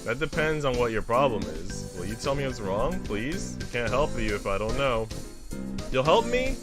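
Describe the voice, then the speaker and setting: A man's voice: medium pitch, animated, normal speed, over music. A man livestreaming a visual novel, reading it aloud and chatting casually with informal, joking asides.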